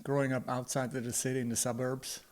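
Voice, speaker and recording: A man's voice: mid-range voice, little pitch movement, fast, a little mellow. Swiss-accented man, easygoing and teasing. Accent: Swiss German. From Switzerland. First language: Swiss German. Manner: laid-back, teasing, laughs easily. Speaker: guest. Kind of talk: casual conversation.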